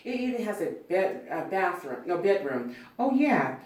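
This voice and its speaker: A woman reading the part of a brisk, friendly first assistant director at a film script table read. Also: fairly low pitch, very animated, a little mellow. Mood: chatty. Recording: roomy, distant mic, faint noise, clicks.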